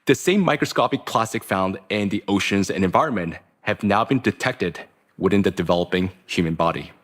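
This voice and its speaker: A man giving a formal, measured introduction of a guest speaker to colleagues at a conference, speaking into a podium microphone. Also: on the low side, a bit bright. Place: conference hall.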